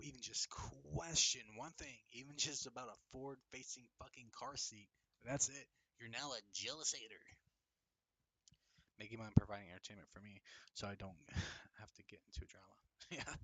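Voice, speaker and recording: A man's voice: mid-range voice, a bit bright, no echo, rough recording. A young man hosting a casual livestream, reading chat comments with a mocking, profane, laughing manner. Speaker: livestream host. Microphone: desk microphone.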